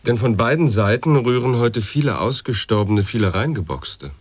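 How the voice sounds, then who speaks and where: An actor voicing a man who solemnly declaims absurd wordplay in a measured way, in an avant-garde radio play. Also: medium pitch, expressive, no echo, close to an everyday voice. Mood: solemn, declamatory.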